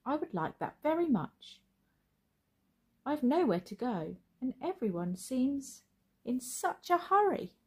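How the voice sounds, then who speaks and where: A woman's voice: sits high, very animated, slow pace, a little mellow, breathy edge, voice pushed up, heavily acted. A woman voicing a small, polite, earnest bear in a children's story read aloud.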